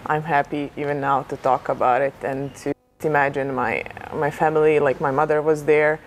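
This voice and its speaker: A woman's voice: sits low, level tone, normal speed, poor audio. Young Serbian woman with arena noise. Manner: thoughtful, uses many fillers like "like" and "uh". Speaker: basketball player, interviewee. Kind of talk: casual interview. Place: large indoor basketball arena. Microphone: lapel mic.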